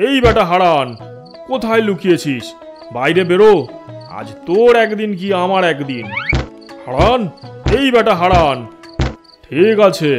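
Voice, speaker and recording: A man playing a vengeful, greedy, blustering bear in a cartoon fairy tale, with a menacing, theatrical manner. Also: high-pitched.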